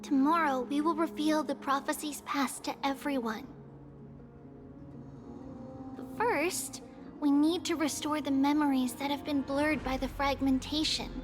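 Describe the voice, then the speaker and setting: A woman voicing a caring, responsible little girl in a video game, speaking in a gentle, encouraging, articulate way. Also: sits high, fairly even intonation, a little slow. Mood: explaining. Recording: music behind the voice.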